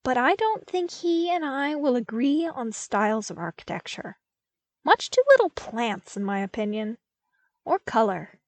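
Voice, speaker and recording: A woman's voice: fairly high pitch, very animated, a little slow, no room sound, voice pushed up. A woman voicing a cheerful, outspoken young male apprentice in a fan fiction audiobook, chatty and emphatic. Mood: playfully critical. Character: cheerful, outspoken young desert-born man, eager apprentice.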